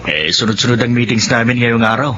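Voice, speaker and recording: An actor playing a calm, busy father and husband. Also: medium pitch, a little fast, highs cut off. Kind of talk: radio drama acting.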